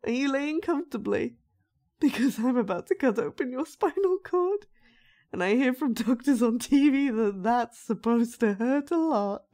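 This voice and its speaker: A woman's voice: sits high, animated, normal speed, warm tone. A woman playing an unhinged, sweetly sinister young dollmaker in a horror audio roleplay, with childlike, sing-song menace. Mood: gleeful menace.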